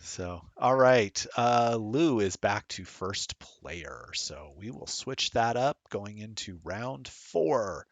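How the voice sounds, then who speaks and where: A man's voice: lowish voice, animated, takes it slowly, hardly any pauses, dry recording. A middle-aged man rambling casually while commentating a streamed tabletop game, self-deprecating and chatty.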